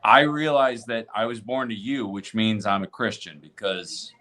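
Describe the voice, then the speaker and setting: A man's voice: fairly low pitch, moderate pace, sharp tone. An American man from Tennessee co-hosting a podcast, chatting casually and telling stories in a conversational way. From Tennessee, United States. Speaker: podcast co-host. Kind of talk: casual conversational storytelling and discussion.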